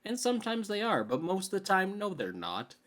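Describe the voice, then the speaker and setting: A man's voice: sits high, huge pitch swings, fairly quick, fairly bright tone. Young man bantering on a podcast. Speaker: podcast co-host. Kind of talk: casual banter about films.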